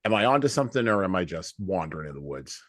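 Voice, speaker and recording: An American man with a very low voice talks as a podcast host in a conversational, opinionated way. He leans on repeated phrases and rhetorical questions to drive his points. The sound is dry, with hardly any room in it. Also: big pitch swings, fast talker, fairly bright tone, dry recording. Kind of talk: conversational book discussion and commentary.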